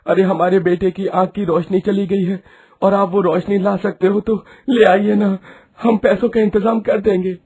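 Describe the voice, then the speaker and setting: A man's voice: high-pitched, level tone, moderate pace, darkish tone, slightly rough. A man voicing a worried aging village husband. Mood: pleading. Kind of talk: animated story dubbing.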